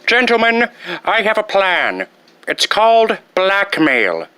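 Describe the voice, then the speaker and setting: A comic movie supervillain, pompous and petty, with a bright, forceful voice set fairly high. He over-enunciates and savors dramatic pauses, and the recording is close and dry. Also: huge pitch swings, smooth, no room sound, heavily acted. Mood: scheming. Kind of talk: comic film villain impression.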